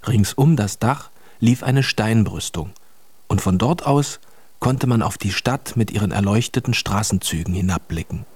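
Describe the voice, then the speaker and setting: A man's voice: on the low side, moderate pace, a little mellow, husky, dry recording. A man narrating a radio play as a scripted storyteller.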